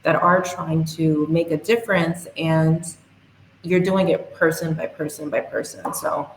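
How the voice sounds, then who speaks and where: Low-voiced young woman, echoey room. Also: steady tone, measured pace. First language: English. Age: young adult. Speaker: podcast guest. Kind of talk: interview answers. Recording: echoey room, faint hiss.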